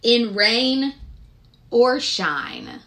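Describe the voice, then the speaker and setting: Bright, high-voiced woman reading to children. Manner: expressive, deliberate, child-directed delivery. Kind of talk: picture-book read-aloud with questions to young listeners.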